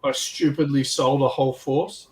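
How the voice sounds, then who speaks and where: A man's voice: mid-range voice, fairly even intonation, moderate pace, a little mellow. A man chatting casually and informally as a panelist on a hobby livestream. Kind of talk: casual chat. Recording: distant mic with background noise.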